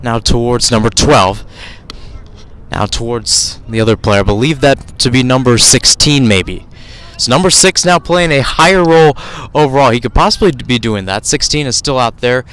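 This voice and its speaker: Male soccer play-by-play announcer, mid-pitched. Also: moderate pace, a bit bright, smooth. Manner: running description with frequent self-corrections. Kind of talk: live radio soccer commentary.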